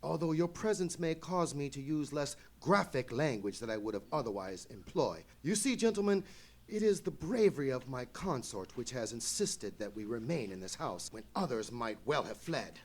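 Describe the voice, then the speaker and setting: A man playing an anxious, courteous English lord in a live radio play, with a husky voice and formal, florid speech. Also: mid-range voice, lively intonation, moderate pace. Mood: courteous, uneasy. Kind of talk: live old-time radio play acting.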